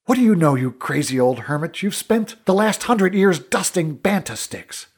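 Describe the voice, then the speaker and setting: A headstrong young princess from a fantasy storybook, acted out by a man, indignant and forceful. The voice is pitched high and swoops with feeling, with a husky edge. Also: big pitch swings, normal speed. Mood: scornful.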